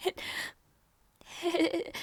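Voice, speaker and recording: A woman playing a gentle young princess in an intimate audio roleplay, devoted and emotional, with a breathy, halting delivery. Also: no echo. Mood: pleading, tender. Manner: breathy, halting, stammering, often near whisper.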